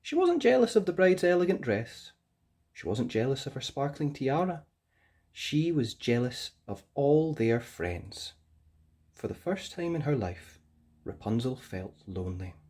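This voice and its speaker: Woman reading a fairytale to kids, lowish voice, very expressive up and down. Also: measured pace, husky. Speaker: storyteller. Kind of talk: expressive children's storybook narration.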